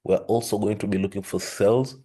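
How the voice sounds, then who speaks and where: Hoarse deep-voiced man explaining trading charts. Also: brisk. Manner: steady explanatory, repeats "with that being said"; frequent throat-clearing. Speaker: forex trading channel host. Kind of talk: screen-share trading lesson.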